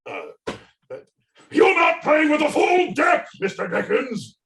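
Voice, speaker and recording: Masked goon, acted in a table read: theatrical, gloating, doomed, slow and creaky, recorded far off over an echoey call. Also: high-pitched, wildly swooping pitch, exaggerated voice. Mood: taunting, resigned. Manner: theatrical, mocking, swinging into shouts. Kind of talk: comedic script table read. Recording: distant, echoey video call audio.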